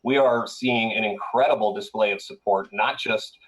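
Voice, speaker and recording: A man from Colombia speaks in a fairly low voice. He answers an interviewer's questions with earnest campaign-speech delivery. He leans on frequent "uh" fillers between points. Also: moderate pace, low-quality recording. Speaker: political candidate.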